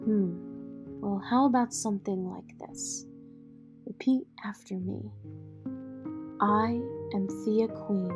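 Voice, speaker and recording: An actress plays a poised, wealthy mother in an audio drama. Her mid-range female voice is measured and commanding, firm as she instructs. Music plays underneath. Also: medium pitch. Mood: encouraging, firm.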